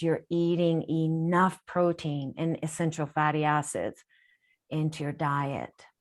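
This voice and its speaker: A woman with a low voice gives a caller advice. She explains at length and often restarts her phrases. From Japan. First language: Japanese. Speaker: healer answering a caller. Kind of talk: live group call, casual guidance.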